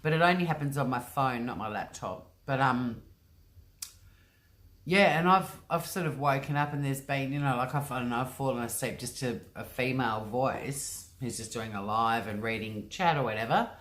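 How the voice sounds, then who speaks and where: A woman with a strikingly deep, low voice hosts a casual livestream from home, speaking into a computer mic at her desk. She chats loosely and rambles, reading out viewers' comments and filling her talk with ums and likes. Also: normal speed, fairly bright tone. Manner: chatty, rambling, often says um and like. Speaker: livestream host.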